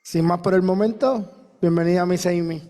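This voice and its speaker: A man's voice: high voice, fairly even intonation, moderate pace, mellow, long reverb tail, faint music behind. A man presenting the staff introductions at an event, brief and with some fillers. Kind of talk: announcing introductions.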